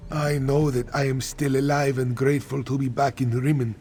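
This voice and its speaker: An actor playing a weary, grateful wounded soldier in a fantasy game, speaking in an earnest, measured way. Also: male voice, medium pitch, level tone, mellow, slight background noise, natural voice.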